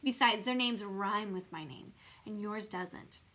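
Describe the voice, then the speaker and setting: A woman's voice: mid-range voice, a little slow, bright, forceful tone. A woman voicing a prim, smugly dismissive first-grade girl in a children's storybook, with precious, self-satisfied phrasing.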